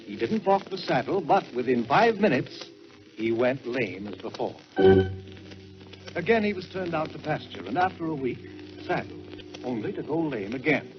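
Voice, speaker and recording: A man telling a story in a dramatic 1940s radio style, theatrical and emphatic, with deliberate pauses for effect. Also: mid-range voice, very animated. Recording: dull old-time radio recording with music.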